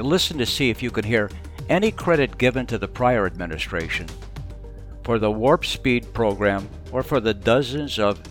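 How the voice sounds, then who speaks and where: A man hosting talk radio with sardonic, emphatic political commentary, repeating phrases for effect. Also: mid-range voice. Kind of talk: conservative political commentary monologue.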